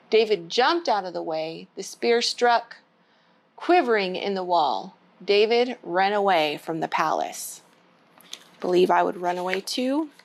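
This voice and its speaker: A woman hosting a children's storytime, reading a storybook aloud in simple language aimed at young children. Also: medium pitch. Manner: simple, child-directed address. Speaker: children's storytime host at a church.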